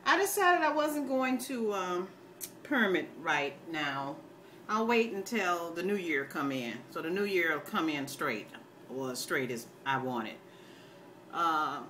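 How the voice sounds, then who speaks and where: Bright-voiced older American woman, folksy and plain-spoken. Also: on the low side, a little hiss or hum. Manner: folksy, opinionated, plain-spoken, calls listeners baby. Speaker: video blogger. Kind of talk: casual rambling chat to viewers.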